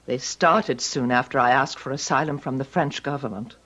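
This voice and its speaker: Frightened foreign ballerina, husky-voiced. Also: female voice, a bass voice, normal speed, fairly bright tone. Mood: fearful, earnest. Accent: foreign European. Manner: hesitant, fearful, theatrical. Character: frightened, dramatic émigré female ballet dancer seeking asylum. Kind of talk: old-time radio drama acting. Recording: dull lo-fi radio drama recording.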